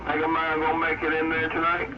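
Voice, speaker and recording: A man's voice: a little high, completely flat delivery, fast talker. A man chatting casually as an operator over CB radio. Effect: CB radio transmission. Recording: dull, very noisy, distant CB radio reception.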